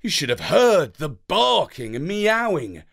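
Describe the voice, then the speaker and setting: Animated British man telling a story. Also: sits high, vocal fry. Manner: theatrical, deliberate. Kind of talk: expressive children's story narration.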